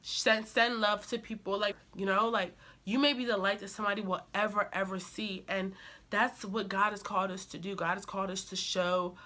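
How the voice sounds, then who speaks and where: A young woman talks to her viewers, nasal and a little stuffy from a cold she has just shaken. Her voice is bright and forceful, and she sounds earnest and encouraging, with a preachy edge. Her pitch sits in the middle range for a woman. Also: little pitch movement, moderate pace. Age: young adult. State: recovering from a cold. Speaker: vlogger. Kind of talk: casual advice talk to camera.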